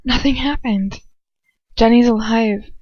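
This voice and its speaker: A woman voicing a protective, tender young woman who consoles a friend in a firm, hushed, soothing way in an audiobook. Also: a little high, slow pace, no echo, pitched above the performer's own voice. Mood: quiet reassurance. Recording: dull lo-fi home recording.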